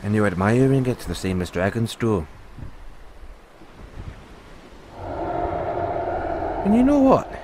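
A man playing a free-spirited, kindly dragon rider and mentor in an audio roleplay, musing and unhurried with pauses. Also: lowish voice, huge pitch swings, noisy background. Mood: encouraging, hopeful.

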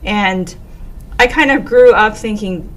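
A woman's voice: mid-range voice, slow, a little hiss or hum. A young woman from Japan, a guest in an interview, talking in a friendly, chatty way with fillers. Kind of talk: casual interview talk. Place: office meeting room, indoors. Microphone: desk microphone.

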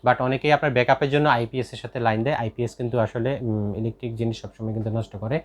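Bangladeshi guy shop seller, talks quick, casual product demo, explains stuff and repeats himself. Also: mid-range voice, little pitch movement. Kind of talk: informal product demonstration sales talk.

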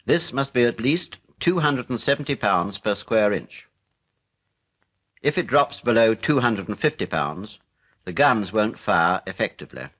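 A British man narrating a 1940s military instructional film in a formal, measured, matter-of-fact way. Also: fairly low pitch, expressive, a bit bright.

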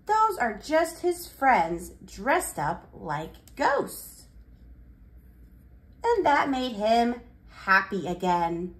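A woman's voice: fairly high pitch, huge pitch swings, very slow. A woman in her thirties presenting to toddlers and reading picture books aloud in simple, exaggerated child-directed speech. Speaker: children's educational presenter and storyteller. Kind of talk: toddler lesson and picture-book read-aloud.

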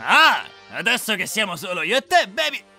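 A man's voice: high-pitched, big pitch swings, normal speed, gravelly, bright, forceful tone, faint music behind, full character voice. A man voicing a cocky, foul-mouthed hedgehog crime boss in a parody cartoon dub, with brash, taunting delivery. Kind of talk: Italian parody cartoon dubbing.

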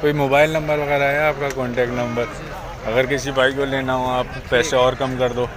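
A man vlogging at an outdoor cattle market, briskly asking sellers prices and repeating them back. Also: mid-range voice, little pitch movement. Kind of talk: casual on-the-spot questioning. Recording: crowded outdoor market noise.

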